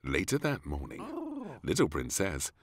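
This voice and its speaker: A man's voice: very deep voice, sing-song, a little slow, dry recording, studio quality. A man reading a children's storybook aloud as narrator, expressively, for very young listeners.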